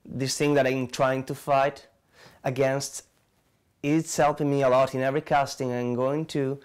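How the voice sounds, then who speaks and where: A man from Italy with an Italian accent, an actor speaking reflectively and softly in an interview. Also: mid-range voice, level tone, measured pace, clean tone. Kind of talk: casual interview.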